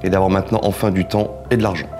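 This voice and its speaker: A man's voice: deep voice, level tone, very fast. A man in his forties giving a promotional testimonial as an entrepreneur. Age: 40s. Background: music.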